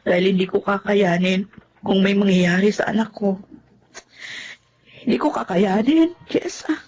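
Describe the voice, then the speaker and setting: A woman's voice: medium pitch, a little slow, lightly acted. A woman acting an anxious, devoted young mother in a Filipino melodrama, speaking with emotional, pleading delivery. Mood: tender, worried.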